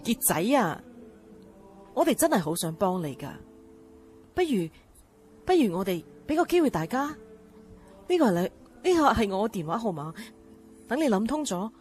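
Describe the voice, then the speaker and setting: An actress plays a caring woman pleading with her family in a Cantonese radio drama. She has a Hong Kong accent and a middle-pitched, very expressive voice, with soft music underneath. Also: normal speed, long pauses, lightly acted. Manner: earnest, pleading. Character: pleading, caring female relative of an estranged man. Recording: music behind the voice.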